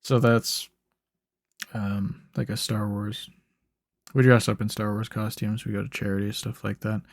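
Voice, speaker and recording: A young man giving laid-back gameplay commentary in a flat, monotone ramble, his voice a bit hoarse and rough. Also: measured pace, no echo, studio quality. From Canada. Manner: monotone, low-key rambling. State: slightly hoarse. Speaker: gaming YouTuber. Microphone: desk microphone.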